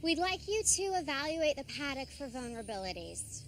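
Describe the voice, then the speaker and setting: An actress playing an anxious, businesslike park executive in film dialogue, speaking formally and a little haltingly. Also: female voice, high voice, big pitch swings, noisy background. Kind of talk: film dialogue in a game cutscene.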